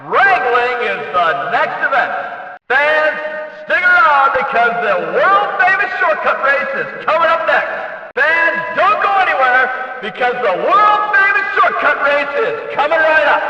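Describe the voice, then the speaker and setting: A man playing an excitable, bombastic demolition derby announcer in a video game, calling events like a showman over a reverberant stadium PA. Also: heavily acted. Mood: excited, urging. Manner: booming showman delivery with drawn-out event names. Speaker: race announcer. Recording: muffled echoing stadium PA, distant.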